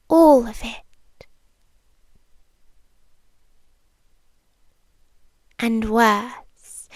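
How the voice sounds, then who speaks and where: Casting: woman as obsessive yandere monster girlfriend, British, high-pitched, unhurried, intimate ASMR delivery sinking into whispers. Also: slightly rough, no echo, studio quality, full character voice. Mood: hushed, ashamed. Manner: hushed, deliberate, dramatic pauses, slipping into whispers. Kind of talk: ASMR roleplay, intimate and slow.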